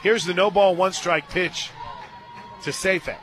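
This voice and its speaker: A man doing live play-by-play softball commentary for radio from a stadium booth. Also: sits high, steady tone, unhurried. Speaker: play-by-play announcer. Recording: crowd noise behind. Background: ballpark crowd and music.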